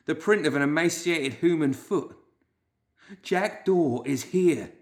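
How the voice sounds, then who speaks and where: A man voicing a frightened, obsessive clerk in a story, whimpering and wailing in drawn-out, fearful speech. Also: mid-range voice, close to an everyday voice. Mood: desperate, wailing.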